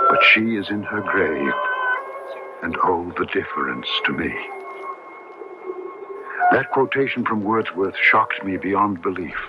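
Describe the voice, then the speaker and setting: An actor playing a brooding, haunted gentleman in an old radio drama, speaking in a grave, measured, literary way. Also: sits low. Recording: dull lo-fi radio recording with music.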